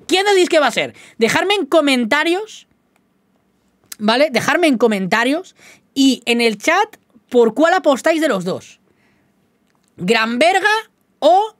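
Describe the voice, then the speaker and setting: A man's voice: high voice, a bit bright, dry recording, clean studio sound. A young man with a slight Galician-tinged Spanish accent, chatting casually and rambling to his viewers as a gaming YouTuber, often poking fun at himself. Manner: chatty, rambling, self-mocking, keeps addressing the viewers.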